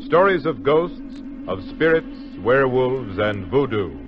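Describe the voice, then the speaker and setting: A man's voice: mid-range voice, very animated, takes it slowly. A man announcing a mystery radio program in 1950s broadcast style. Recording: dull 1950s radio recording over music.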